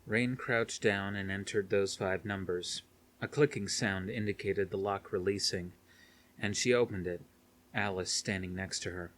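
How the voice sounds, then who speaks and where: Low-voiced man narrating a thriller. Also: fairly even intonation, unhurried, a bit bright. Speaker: audiobook reader. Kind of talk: scripted narration of a thriller novel.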